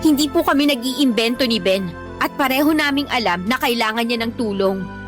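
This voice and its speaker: A woman acts out an earnest young student in a radio drama. Her voice is very high, and she pleads with respectful but firm conviction. Soft music runs underneath. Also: brisk, natural voice. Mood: hurt, firm. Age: young adult. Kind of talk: Tagalog radio drama acting.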